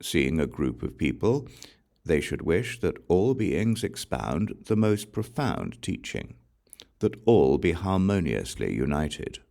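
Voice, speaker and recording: A man reading Buddhist scripture as an audiobook in a solemn, measured, formal way. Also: rumbling low, vocal fry, darkish tone. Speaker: audiobook reader.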